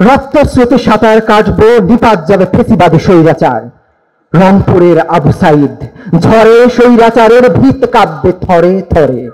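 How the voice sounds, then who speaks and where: A man's voice: high-pitched, expressive, moderate pace, darkish tone, poor audio. A young man with a Bangladeshi accent gives an impassioned, declamatory recitation of patriotic poetry. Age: young adult.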